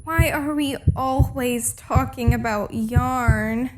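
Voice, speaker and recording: A woman as very high-voiced, unhurried police officer. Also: a little mellow. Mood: impatient, mediating. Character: matter-of-fact police officer, mildly impatient peacemaker. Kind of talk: radio play acting.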